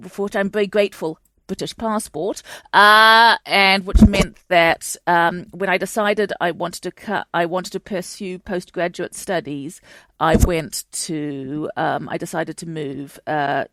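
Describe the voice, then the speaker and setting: A woman's voice: on the low side, fairly bright tone, dry recording. A woman from Chile, a guest historian, answering interview questions in a chatty way with many fillers and restarts. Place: home in London.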